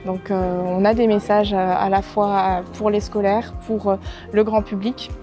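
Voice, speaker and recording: A woman's voice: mid-range voice, level tone, a little slow, music in the background. A woman acting as a project spokesperson, explaining things to the press hesitantly and correcting herself.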